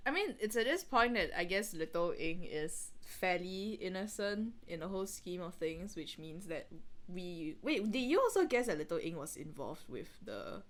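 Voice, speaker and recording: Animated, low-pitched woman chatting casually. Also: normal speed, crowd noise. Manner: rambling, animated, giggly. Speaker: podcast host. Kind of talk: casual reading commentary with a co-host.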